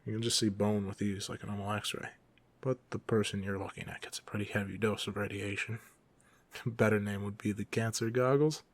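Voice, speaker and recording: Low, creaky playful young villain, acted by a man. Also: steady tone, a little fast. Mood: playful, amused. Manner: teasing, casual, chuckling banter. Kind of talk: ASMR roleplay, one side of a casual conversation. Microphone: close microphone.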